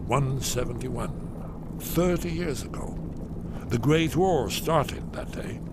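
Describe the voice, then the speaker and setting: An actor playing an old, paranoid hermit scholar in a fantasy video game, dramatic and portentous, given to muttering. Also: male voice, lowish voice. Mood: grave recollection. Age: older. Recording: loud noise behind the voice.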